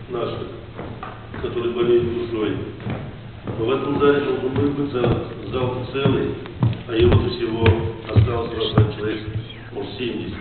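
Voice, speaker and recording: A man with a cold, hoarse, giving an emotional and reproachful speech to farmers at a meeting in a large hall. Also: medium pitch. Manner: reproachful, rambling, rising to indignation. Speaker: farmers' association head addressing a meeting. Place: large assembly hall with seated audience. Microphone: far camera in the audience rows. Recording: distant echoey hall, tinny, faint noise.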